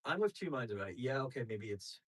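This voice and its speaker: A man's voice: mid-range voice, big pitch swings, fast. A man, a comedian, chatting animatedly on a podcast and slipping into little put-on voices. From Greek family. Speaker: comedian guest. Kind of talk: casual podcast chat.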